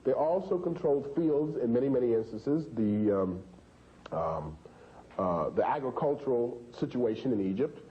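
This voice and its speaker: A man giving unscripted expert commentary, halting and repeating words for emphasis. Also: medium pitch, animated, echoey room. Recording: dull lo-fi audio, faint noise.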